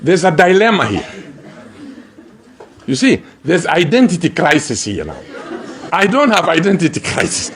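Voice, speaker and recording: Male African-born lecturer, high animated voice, emphatic and humorous, slight background noise. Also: moderate pace, long pauses. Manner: emphatic, chuckles often, repeats phrases for effect. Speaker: university lecturer. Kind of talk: public talk with humorous asides.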